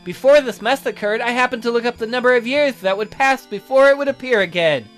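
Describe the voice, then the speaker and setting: A man's voice: extremely high pitch, fast talker, a bit bright, soft background music. A man voicing a condescending, sardonic castle magician in a visual novel, haughty and scornful as he explains things. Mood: disdainful.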